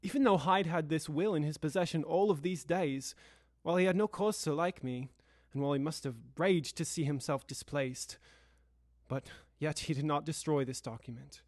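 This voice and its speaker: Young guy playing worried victorian lawyer, lightish pitch, formal n dramatic, very dry audio drama recording. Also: moderate pace, no room sound, lightly acted. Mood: bewildered. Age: young adult. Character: anxious, cautious Victorian gentleman lawyer, loyal friend.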